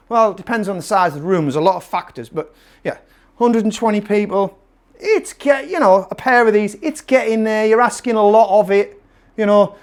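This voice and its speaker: A man presenting a casual product review to camera, emphatic and salesman-like, repeating phrases to drive his point home. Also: high voice. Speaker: presenter and seller of PA gear.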